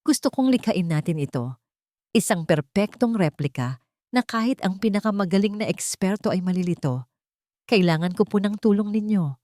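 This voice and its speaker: A woman's voice: medium pitch, normal speed, no echo, close to an everyday voice. A determined young wife who has been wronged, acted by a woman narrator in an audio love story. She speaks earnestly and evenly, with cold resolve. The sound is close and dry. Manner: earnest, steady, unwavering.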